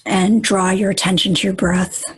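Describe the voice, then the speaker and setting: A woman leading a guided meditation in a gentle, deliberate manner, with long pauses and a breathy, husky voice that often nears a whisper. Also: medium pitch, little pitch movement, a little hiss or hum, rough recording.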